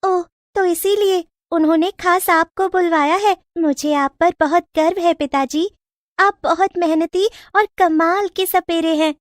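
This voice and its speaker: A woman's voice: very high-pitched, a little slow, voice pushed up. A woman voicing a timid, earnest young village girl in a plaintive, childlike manner for a storybook cartoon. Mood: proud. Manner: plaintive, wide-eyed, childlike.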